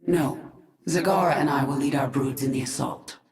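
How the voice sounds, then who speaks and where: A woman's voice: low voice, normal speed, crowd noise, lightly acted. An actress voicing a determined, commanding female swarm queen who speaks firmly. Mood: resolute. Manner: firm and resolute, at times dropping to a whisper. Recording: echoey.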